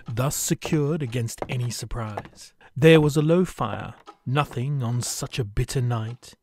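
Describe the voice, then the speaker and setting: A male narrator tells a scripted story in a dramatic audio drama style. His pitch is average for a man. Clearly audible noise and sound effects run behind the voice. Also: darkish tone.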